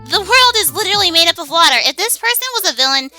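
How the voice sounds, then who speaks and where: Very bright, high-pitched woman. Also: animated, fast talker, faint music behind. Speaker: co-host. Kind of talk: casual chat.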